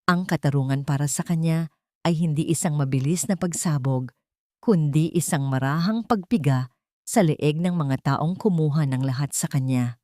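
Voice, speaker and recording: A woman narrating a scripted dramatic love story in a solemn, deliberate manner. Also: low-pitched, mellow, no echo, clean studio sound.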